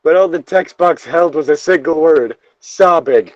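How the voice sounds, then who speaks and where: A man's voice: on the high side, unhurried, dry recording, muffled recording. A man co-hosting a casual read-along of internet horror stories, jokey and given to swearing between lines. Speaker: co-host reading internet horror stories aloud. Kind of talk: casual story read-along with joking asides.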